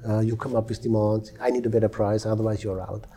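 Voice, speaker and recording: Male interview guest from Europe, former negotiator; very dark, husky voice, calm and measured delivery. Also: fairly low pitch. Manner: calm, measured, with frequent restarts. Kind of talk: interview conversation. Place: Dubai.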